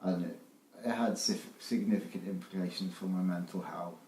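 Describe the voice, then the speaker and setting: Man, 30s, low warm tone, wry and candid; roomy sound. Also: fairly even intonation, moderate pace. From West Wales. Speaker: interviewee. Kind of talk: personal recollection. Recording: roomy recording with faint music.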